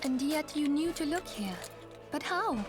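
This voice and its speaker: A woman voicing a gentle, dignified high priestess in a fantasy game, composed, earnest and formal. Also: animated, measured pace. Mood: tense, resolute. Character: gentle, dignified high priestess, brave leader of a secret order. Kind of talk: scripted fantasy game dialogue. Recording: game music behind the voice. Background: orchestral game music.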